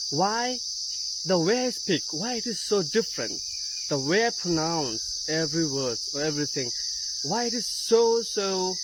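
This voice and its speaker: A woman from India with a mixed Indian and American accent, an English teacher talking earnestly to camera with halting restarts. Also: fairly low pitch. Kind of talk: casual talk to camera. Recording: loud insect and cricket noise behind. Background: loud crickets and insects.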